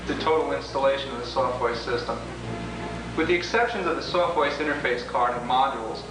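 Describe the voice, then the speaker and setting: A man's voice: medium pitch, fairly quick, over music. A man presenting an instructional training tape as a product demonstrator, methodically going through each step in formal wording. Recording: echoey, noisy, distant 1980s homemade video.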